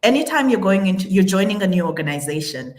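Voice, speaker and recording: A woman's voice: mid-range voice, sing-song, fast, a little mellow. A woman with a Ugandan accent talking emphatically as a panel guest, repeating phrases for weight. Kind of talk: conversational panel talk.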